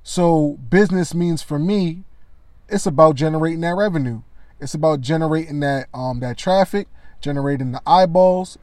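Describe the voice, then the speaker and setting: A man with a naturally loud, slightly high voice talks through his views on sports media in a relaxed, conversational way. He keeps checking in with the listener as he goes. The sound is close and dry, with no room around it. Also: no echo. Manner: conversational, frequent "you know what I mean". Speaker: commentator. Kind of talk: casual sports media commentary.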